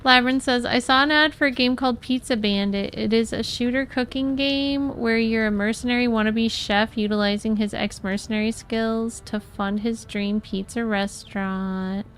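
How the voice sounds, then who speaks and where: A woman's voice: fairly high pitch, steady tone, normal speed, few pauses, a bit bright, a little hiss or hum. An American woman from Florida giving casual live gameplay commentary, chatting with viewers as a streamer. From Florida, USA. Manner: chatty, greets and thanks viewers. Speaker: live game streamer and host.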